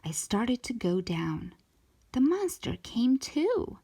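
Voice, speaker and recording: Animated woman reading a children's story, slower pace. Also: on the high side, slightly rough. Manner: expressive, drawn-out emphasis for young listeners. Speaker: storytime host reading a children's book aloud.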